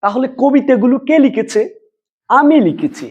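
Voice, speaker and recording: A woman's voice: high voice, animated, normal speed, darkish tone, breathy edge, dull audio. A woman teaching students a grammar lesson, explaining step by step with repetition and questions she answers herself. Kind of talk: board lesson addressed to students.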